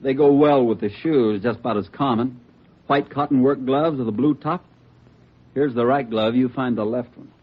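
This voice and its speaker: An actor playing a brisk, wry male crime lab technician with a husky voice in an old radio police drama. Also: medium pitch, lightly acted. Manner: wry, businesslike. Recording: muffled old radio recording.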